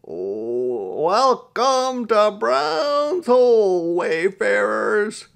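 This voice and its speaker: Storybook Scottish frontier host, acted by a male reader: booming, hearty, pitched well up. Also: sing-song, very slow, creaky, a bit bright, clear, resonant tone, exaggerated voice. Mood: hearty welcome. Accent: Scottish, put on.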